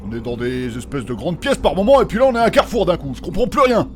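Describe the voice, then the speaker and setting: A man acting as an irreverent young wisecracker in a fantasy audio play. The voice is distinctly high and swings around a lot, teasing and flippant, with music clearly behind it. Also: very animated, fast talker, a little mellow. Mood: puzzled, flippant. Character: cheeky young male joker, irreverent and teasing. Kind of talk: French humorous fantasy audio fiction acting.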